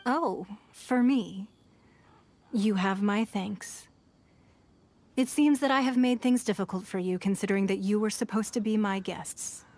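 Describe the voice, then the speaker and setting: A woman plays a powerful, wealthy lady of a fantasy video game. She is shrewd and composed, with a medium-pitched voice. Her speech is formal, measured and courteous. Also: a little mellow, a little hiss or hum, close to an everyday voice. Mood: gracious, proud. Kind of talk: scripted video game cutscene dialogue.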